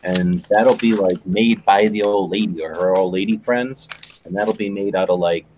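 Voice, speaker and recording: A man's voice: deep voice, normal speed, darkish tone. A man chatting casually in a rambling, emphatic, slangy way. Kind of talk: casual chat, opinionated food banter. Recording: dull lo-fi mic with hiss.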